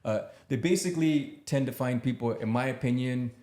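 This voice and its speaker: A man from California talking in a casual podcast chat, halting and trailing off. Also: mid-range voice, dark tone. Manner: hesitant, many fillers and restarts. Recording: echoey room.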